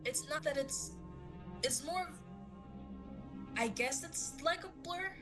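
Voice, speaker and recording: A woman playing a worried, high-achieving student girl in an audio drama, hesitant and searching for words. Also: slow pace, over music.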